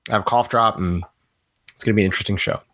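A man co-hosting a sports podcast while he has a cold, sounding a little raspy, talking in a rambling, opinionated way and laughing often. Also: on the low side, fairly even intonation, racing, a bit bright, rough recording. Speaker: regular podcast co-host. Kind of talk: casual sports podcast chat.

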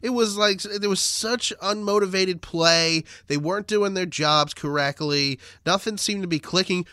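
A man's voice: high voice, a little slow, pushed and bright, clear, resonant tone, no echo, studio quality. A man hosting a casual sports podcast, sounding nasal and stuffed up from a cold, chatty and rambling. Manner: chatty, rambling, self-deprecating. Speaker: podcast host.

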